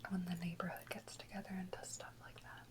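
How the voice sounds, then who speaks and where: A woman whispering softly and unhurriedly in a casual ASMR chat, close to the microphone. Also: on the low side, level tone, fast talker. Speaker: ASMR video host.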